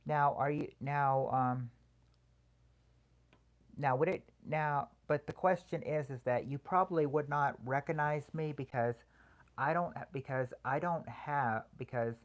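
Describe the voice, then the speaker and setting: A soothing voice at a middle pitch, belonging to a livestream host who chats casually with viewers. The speech is halting and hesitant. Phrases restart often as the host answers comments one by one.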